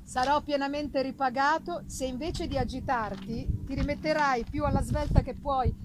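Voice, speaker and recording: A woman acts out a devoted young friend in a gothic tale, a man who speaks earnestly and with concern. The pitch is high. The live recording has clear noise. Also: steady tone, moderate pace, close to an everyday voice. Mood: gentle, reassuring. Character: Clerval, alarmed and devoted young male friend. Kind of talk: theatrical gothic novel reading. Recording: noisy live festival recording.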